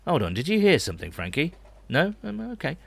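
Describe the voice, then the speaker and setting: A man acts out a bumbling coach in a comic audio drama, with a highish voice that swoops around. He is excitable and easily flustered, on a dry, close recording. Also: fairly quick, vocal fry, slightly rough, no echo, exaggerated voice. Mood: panicked. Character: panicky, bumbling head coach of a podcast team. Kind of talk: amateur comedy audio drama acting.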